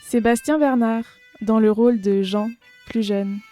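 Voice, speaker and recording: Mellow female credits announcer. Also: a little high, steady tone, a little slow, faint music behind. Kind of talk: scripted narration.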